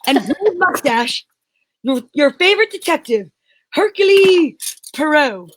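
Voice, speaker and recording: Old-time radio mystery announcer played by a woman, very high voice, declamatory, amateur stop-and-start reading. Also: lively intonation, measured pace, slight background noise, low-quality recording. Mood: grand, presentational.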